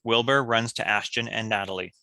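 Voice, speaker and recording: Male script narrator, low-pitched yet very bright and forceful, reading stage directions. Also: steady tone, fairly quick, dry recording.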